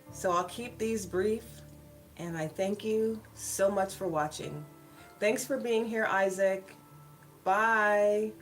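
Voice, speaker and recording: A woman storyteller hosting a read-aloud of children's Bible stories, with casual asides to her viewers. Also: mid-range voice. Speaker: storyteller and channel host. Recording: background music behind the voice. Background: soft worship music.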